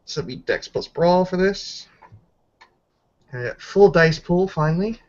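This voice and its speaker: Higher-voiced man running a tabletop game, muffled audio. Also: lively intonation, a little slow. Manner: informal, swears freely. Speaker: game master in a tabletop roleplaying session. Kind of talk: casual live game narration with dice counting. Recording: dull lo-fi voice chat audio.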